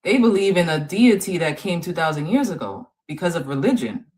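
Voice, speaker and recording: A woman co-hosting a religious live-stream panel, explaining to callers in a calm, measured way. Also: fairly low pitch.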